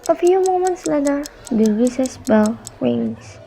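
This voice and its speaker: A woman's voice: high-pitched, lively intonation, unhurried, gravelly. A young woman reading scripted narration for a student radio drama. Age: young adult. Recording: dull, noisy amateur recording.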